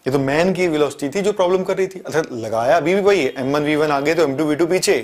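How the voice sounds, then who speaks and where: High-voiced man teaching physics. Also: fast talker. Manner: explains step by step, asks and answers himself. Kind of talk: classroom lecture. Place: studio in front of a large touchscreen board.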